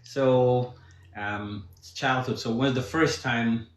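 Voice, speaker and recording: A middle-aged man with an Ethiopian accent hosts an informal show in an echoey room. His pitch is average for a man, and he talks in an encouraging, meandering way. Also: steady tone, takes it slowly. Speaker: host. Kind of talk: informal live show hosting.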